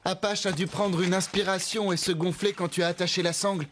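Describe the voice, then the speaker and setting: An actor playing a calm, helpful young stable hand in a dubbed cartoon, reassuring and practical. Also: male voice, high-pitched, brisk, background noise, close to an everyday voice. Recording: music bed behind the voice.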